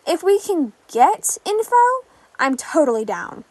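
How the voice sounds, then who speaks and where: Audio story role: sardonic, worried teenage boy female reader, high and animated. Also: a little slow, dry recording. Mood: sarcastic, doubtful. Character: anxious, sarcastic teenage boy hero trainee. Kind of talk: podfic reading of group-chat messages.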